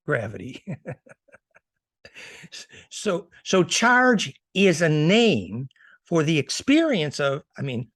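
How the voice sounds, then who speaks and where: A man giving an informal slide lecture in an assertive, emphatic manner, with halting restarts as he presents his theories. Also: on the high side, gravelly, fairly bright tone, dry recording, clean studio sound. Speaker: presenter of fringe physics theories.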